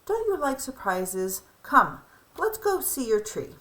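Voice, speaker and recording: A woman voicing a gentle, reassuring mother of a young boy in a children's storybook, caring and lightly teasing. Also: sits high, very animated, takes it slowly, pitched above the performer's own voice, heavily acted.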